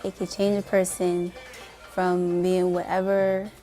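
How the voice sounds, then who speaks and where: A fourteen-year-old girl from New Jersey speaks slowly and carefully. Her voice is mellow and fairly even in pitch. Soft music plays behind her. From Camden, New Jersey. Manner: slow, careful. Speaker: interviewee.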